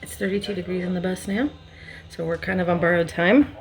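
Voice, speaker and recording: A woman's voice: lowish voice, fairly quick. A woman casually narrating renovation work as a vlogger, informal and thinking aloud. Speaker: vlogger documenting a bus renovation. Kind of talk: casual vlog narration while working. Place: inside a bus being converted. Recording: noisy on-site recording with radio playing. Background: radio and work noise.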